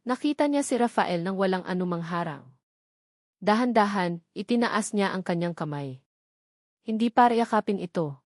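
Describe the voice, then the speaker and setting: A woman's voice: medium pitch, a little mellow, dry recording, clean studio sound. A woman storyteller giving a scripted, dramatic narration of a romance story.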